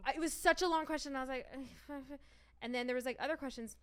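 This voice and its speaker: A young woman comedian tells stories at a rapid clip. Her voice is bright and pitched a little high. She swears casually, laughs often and slips into quick impressions of the people she talks about. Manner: swearing, laughing, acts out other people's lines. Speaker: stand-up comedian guest. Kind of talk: animated storytelling in a casual podcast chat.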